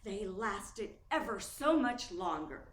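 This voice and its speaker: A woman's voice: medium pitch, very animated, takes it slowly. A woman playing a persuasive 1940s radio commercial announcer, emphatic and salesy. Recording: distant, echoey, faint noise.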